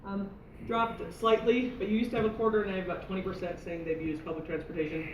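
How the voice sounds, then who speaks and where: A woman's voice: mid-range voice, little pitch movement, fast, muffled recording. A woman presenting survey results to a meeting, chatty and laughing often. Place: meeting room. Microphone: room mic from afar. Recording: roomy, distant, noisy room recording.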